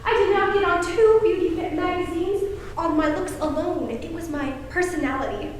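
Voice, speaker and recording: A very high-pitched, fussy genteel aunt played by a woman, chatty and insistent in a theatrical stage manner. She sounds far from the microphone in a heavily echoing space. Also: normal speed. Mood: fretful, insistent. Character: fussy, nagging, genteel aunt and exasperated wife. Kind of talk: amateur stage play acting. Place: stage of a school performance space. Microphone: distant camera microphone. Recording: very echoey, distant stage recording with noise.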